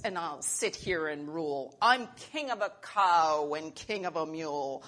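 A woman voicing a pompous, bossy male turtle king in a storybook, loud, commanding and boastful. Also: fairly low pitch, heavily acted.

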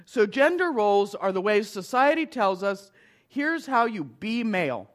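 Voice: medium pitch, sing-song, a little slow. A middle-aged minister giving a conversational lecture to an audience, with wry asides.

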